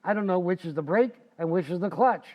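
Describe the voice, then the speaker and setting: A man's voice: high voice, a little fast, natural voice. A man playing a boastful, folksy, wisecracking husband in the style of old-time radio comedy. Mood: cocky, breezy. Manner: folksy, cocky, joking. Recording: dull lo-fi, reverberant hall.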